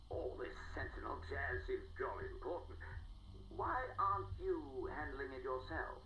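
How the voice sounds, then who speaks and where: An actor playing a pompous, blustering aristocratic archaeologist with an upper-class English accent and a stuffy, spluttering manner, in a period game audio log. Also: male voice, mid-range voice, lively intonation, unhurried. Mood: grudging. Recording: roomy, muffled old telephone-like audio log, faint noise.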